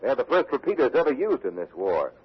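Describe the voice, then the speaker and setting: 1940s radio newsman, formal and brisk, bit husky, muffled old recording. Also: medium pitch, big pitch swings. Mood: dry, probing. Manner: formal, measured reporting, dry questioning. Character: brisk male radio correspondent at the battle.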